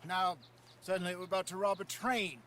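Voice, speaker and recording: Brief: cautious outlaw, calm and pleading, slightly high voice, faint background noise. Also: male voice, a little fast. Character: cautious older male outlaw, weary and reasoning. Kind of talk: video game cutscene dialogue.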